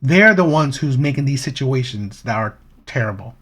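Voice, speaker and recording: A man's voice: medium pitch, expressive, normal speed, a bit bright, slight background noise. A man hosting a reaction channel, giving opinionated commentary with rhetorical questions and frequent "right?" checks.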